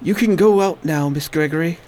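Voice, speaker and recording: A man's voice: on the high side, normal speed, darkish tone, natural voice. Man voicing a weak plague patient, weary, pained, radio play. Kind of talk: radio drama acting.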